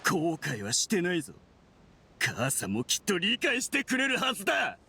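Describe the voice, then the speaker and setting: An actor playing a bitter, sneering researcher turned criminal, sardonic and argumentative, in an anime-style Japanese game. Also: male voice, mid-range voice, very animated, unhurried, a little hiss or hum.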